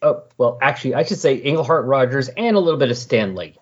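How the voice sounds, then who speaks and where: A man hosts a podcast with a lively, swinging intonation. His voice has a slightly husky grain, and he sits at a middle pitch. The delivery suits an informal hobby show. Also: fast talker, muffled recording. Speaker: podcast host. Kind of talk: casual comics podcast talk with read synopsis.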